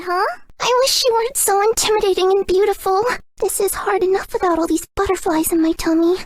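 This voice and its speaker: A woman voicing a shy, sweet, excitable young female fox spirit for a video game, with a cutesy girlish voice. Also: very high voice, no echo. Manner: bashful, earnest, playful. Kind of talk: video game character voice lines.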